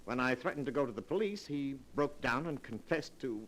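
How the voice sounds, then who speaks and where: An actor playing a calculating murderer in a vintage radio drama, smooth and controlled. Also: male voice, medium pitch, lively intonation, a little fast, a little hiss or hum, close to an everyday voice, poor audio. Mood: composed. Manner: smooth and calculating, rising to hysteria. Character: cold scheming male murderer who cracks at the end. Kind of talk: 1940s radio acting.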